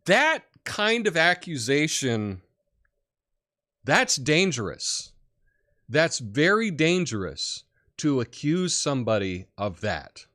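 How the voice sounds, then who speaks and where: Booming, slow-talking opinionated male host. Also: big pitch swings, bright, forceful tone. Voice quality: big booming voice. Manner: opinionated, rambling with many fillers. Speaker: podcast host. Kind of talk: casual political commentary.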